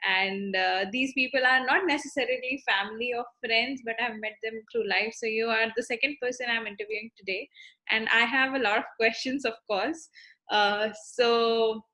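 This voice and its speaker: A woman's voice: fairly high pitch, fairly even intonation, moderate pace, bright, forceful tone. A woman hosting an interview series, introducing her guest politely and a little hesitantly.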